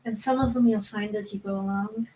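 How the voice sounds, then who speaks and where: A woman's voice: medium pitch, steady tone, fairly quick, dark tone, far from the mic, poor audio. A woman interviewing a narrator in a friendly, casual way.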